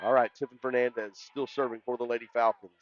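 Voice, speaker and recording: A man with a loud voice doing live play-by-play volleyball commentary in an excitable, folksy way. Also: medium pitch, quick pace, highs cut off, a little hiss or hum. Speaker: sports play-by-play announcer.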